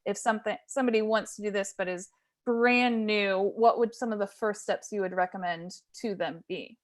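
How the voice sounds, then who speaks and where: A woman with a mid-pitched voice moderates a webinar panel. She is polite and brisk as she brings in speakers and poses questions. It sounds like a video call. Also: steady tone.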